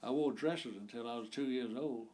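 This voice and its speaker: A man's voice: mid-range voice, normal speed, mellow, a little hiss or hum. An eighty-year-old man from Virginia, recalling his family's farm life in an oral history interview. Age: 80s.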